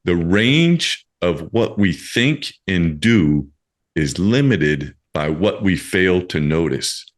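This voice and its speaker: A man's voice: low voice, expressive, takes it slowly. A man hosting a podcast in an effusive, encouraging way, moving between scripted introduction and casual chat and addressing listeners directly. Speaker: podcast host.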